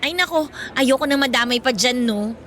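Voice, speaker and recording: A woman's voice: sits high, normal speed, fairly bright tone. A woman acting a defiant, outspoken young girlfriend in a radio drama, with a Filipino accent and an assertive, emphatic delivery. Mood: dismissive. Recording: music bed behind the voice.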